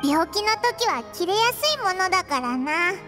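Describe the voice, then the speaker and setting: Tiny squeaky fairy kid for an anime game, brash n boyish talk, high, soft music behind. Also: female voice, big pitch swings, normal speed. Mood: chipper. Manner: blunt, boyish casual speech. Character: small childlike floating fairy companion, brash and cheeky. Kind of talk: anime-style video game voice acting.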